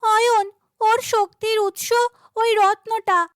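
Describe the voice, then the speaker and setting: Casting: woman for fairytale princess, youthful and high, brave, tender-hearted, earnest resolve, dry sound. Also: flat delivery, slow, no echo. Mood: determined. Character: brave, kind, determined young princess.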